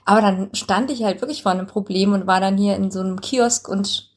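A woman's voice: medium pitch, steady tone, a little fast. A woman talking casually as a podcast co-host and interviewer. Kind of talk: casual chat. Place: hotel room.

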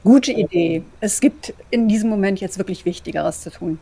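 A woman's voice: medium pitch, normal speed, dark tone, husky, low-quality recording. A woman playing a composed, diplomatic female vampire in an audio drama, polite, articulate and businesslike. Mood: brisk. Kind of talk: German audio drama acting.

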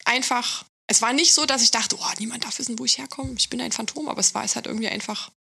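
A woman's voice: fairly high pitch, fast talker, vocal fry, bright, forceful tone, husky. A woman from Thuringia in a casual, joking chat as a podcast guest, playful and self-ironic, dropping English slang into her talk. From Thuringia, Germany.

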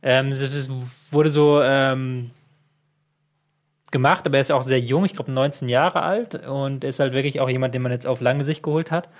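A man's voice: medium pitch, fairly even intonation, normal speed. A man with a mid-range voice co-hosts a casual football chat. He speaks hesitantly, full of fillers, and openly admits gaps in his knowledge. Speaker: football podcast co-host.

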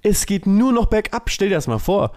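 High-voiced man, musing emphatically. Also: very animated, a little fast, darkish tone. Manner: musing, emphatic, repeating himself. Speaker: podcast co-host. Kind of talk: casual chat.

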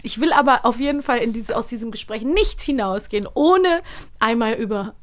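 A female podcast host with a voice a little on the high side. She interviews in a loose, conversational way, effusive and enthusiastic, building long wandering sentences. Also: lively intonation, brisk. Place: studio.

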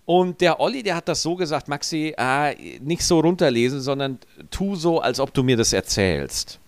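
A man with a regional German dialect and a rolled R, telling stories in a relaxed, joking podcast chat. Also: on the high side, moderate pace, no echo. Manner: lively storyteller, joking. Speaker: podcast co-host. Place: studio, feet up on desk.

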